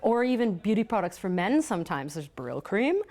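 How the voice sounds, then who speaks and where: A woman's voice: medium pitch, very animated, normal speed, a little mellow. Female interviewee, middle register, informal conversational delivery as a stage performer. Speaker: radio theatre performer. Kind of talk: casual interview.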